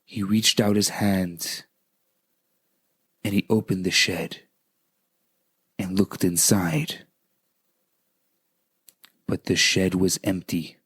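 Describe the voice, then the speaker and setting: A man speaking as a rabbi on radio, in a dramatic, inspirational storytelling style, deliberate and emphatic. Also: sits low, level tone, a bit bright, breathy edge. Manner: deliberate, emphatic, repeats phrases for effect.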